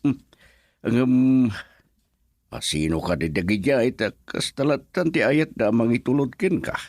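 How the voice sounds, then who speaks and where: An actor playing a gentle older father who advises his daughter in a slow, soothing way. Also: medium pitch, expressive, no echo, studio quality. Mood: fatherly. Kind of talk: radio drama acting.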